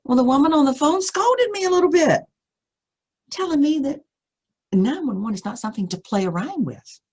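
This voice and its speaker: A woman reading a spooky first-person story aloud, with suspenseful, deliberate phrasing and dramatic emphasis. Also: sits high, expressive, a little mellow.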